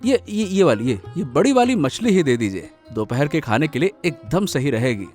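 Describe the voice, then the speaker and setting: A man's voice: on the high side, very animated, moderate pace, darkish tone, faint music behind. A man voicing a polite, appreciative young village husband in a cartoon story. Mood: curious, polite. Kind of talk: Hindi cartoon story voice acting.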